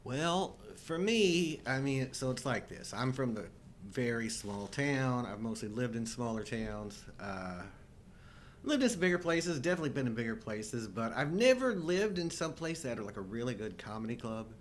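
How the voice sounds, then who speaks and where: A man's voice: medium pitch, very animated, moderate pace, a little hiss or hum. A middle-aged man co-hosting a live show with casual, playful banter and wry joking.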